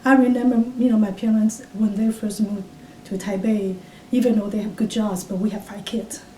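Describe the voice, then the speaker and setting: Taiwanese woman, dark distant voice. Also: steady tone. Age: 50s. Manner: reflective, halting with many fillers and restarts. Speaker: interviewee. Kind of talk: oral history interview. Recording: roomy, distant mic with faint rumble.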